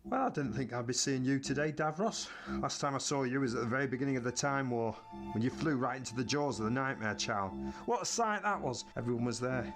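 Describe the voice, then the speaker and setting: An actor playing a world-weary, bitter warrior time traveller in a science fiction audio drama, wry and dramatic. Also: male voice, mid-range voice, lively intonation, brisk, music in the background, lightly acted. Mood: sardonic.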